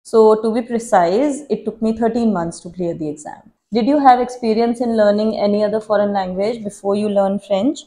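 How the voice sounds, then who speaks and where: A woman's voice: mid-range voice, normal speed, hardly any pauses, warm tone. A woman in her thirties, a native Hindi speaker, answering viewer questions in an earnest, reflective casual vlog. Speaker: vlogger.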